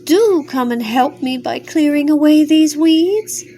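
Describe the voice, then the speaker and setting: A woman's voice: sits high, expressive, unhurried, dark tone, a little hiss or hum, pitched above the performer's own voice. An older woman doing a wailing, choked stream. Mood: pleading. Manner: wailing, begging.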